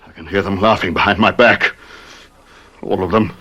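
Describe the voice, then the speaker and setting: Husky, tormented, jealous husband. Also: male voice, low-pitched, normal speed, pushed and bright, full character voice. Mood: wounded rage. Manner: melodramatic, anguished. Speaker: actor. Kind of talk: radio drama acting.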